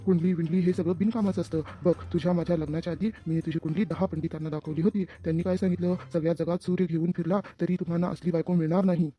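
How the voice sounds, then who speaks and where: A man's voice: fairly high pitch, little pitch movement, quick pace, few pauses, lo-fi sound, faint music behind, close to an everyday voice. A man voicing a henpecked middle-aged father-in-law in a comedy cartoon, talking with mocking sarcasm.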